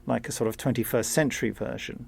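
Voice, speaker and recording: A man gives a casual, explanatory interview. His mid-pitched voice has a pronounced gravelly creak, and he drops in conversational asides as he goes. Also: fairly even intonation, quick pace. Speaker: audio drama maker.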